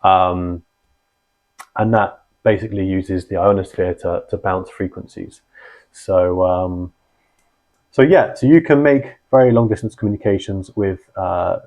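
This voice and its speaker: A man hosting a casual explainer on radio gear, rambling and filling pauses with ums and restarts. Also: fairly low pitch, darkish tone. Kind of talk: casual explanatory vlog talk. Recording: dull lo-fi sound.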